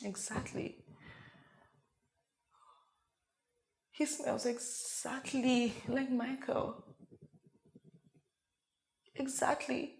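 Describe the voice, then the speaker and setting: A woman's voice: medium pitch, expressive, measured pace, lightly acted. A woman performing a dramatic comedic monologue as a frazzled young wife and mother who rambles and interrupts herself in a meltdown. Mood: anxious rambling. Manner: rambling, flustered, self-interrupting. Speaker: actor performing an audition monologue.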